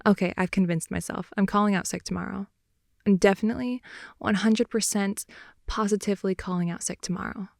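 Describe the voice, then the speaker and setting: A woman improvising an intimate ASMR roleplay as a playful, affectionate girlfriend in bed, speaking softly, often in whispers and sighs, close to the microphone. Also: medium pitch, fairly even intonation, brisk, gravelly, dry recording. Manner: soft, often whispery, sighing, teasing. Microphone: close microphone, dry intimate sound.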